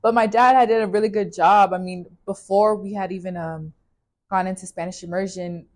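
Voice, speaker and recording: A young woman from California, telling her story in a casual interview with a relaxed, filler-heavy way of talking. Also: mid-range voice, brisk. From California, USA. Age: young adult. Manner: relaxed, with many fillers like "like" and "you know".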